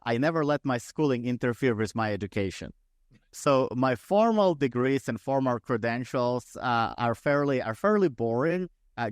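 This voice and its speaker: A man's voice: mid-range voice, no room sound, clean studio sound. A man in his thirties from Ukraine, a podcast guest speaking conversationally and thoughtfully, often restarting and repeating words. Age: 30s. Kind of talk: conversational interview.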